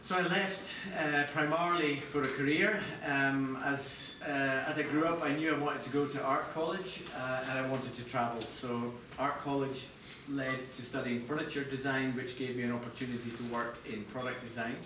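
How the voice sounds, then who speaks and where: A man's voice: mid-range voice, steady tone, moderate pace, hardly any pauses, recorded from a distance. A middle-aged Scottish man giving a live presentation as a designer, in a conversational, hesitant way with restarts. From Scotland. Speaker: chief TV product designer presenting. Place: echoey presentation room with audience. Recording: echoey room from afar, clear background noise.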